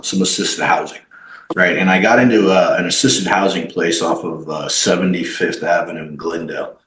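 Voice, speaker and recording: A man, a company CEO, telling his life story as a podcast guest in an animated way, with many fillers and restarts. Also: low-pitched. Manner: animated, acts out quoted dialogue, frequent fillers and restarts. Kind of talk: personal storytelling in a podcast interview. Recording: roomy echo.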